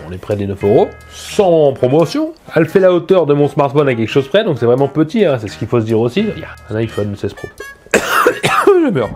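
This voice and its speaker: Male tech vlogger, stuffy from a cold, medium pitch, quick self-mocking chatter. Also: warm tone. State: congested from a head cold. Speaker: tech reviewer. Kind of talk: casual unboxing review. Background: faint music from the speaker being tested.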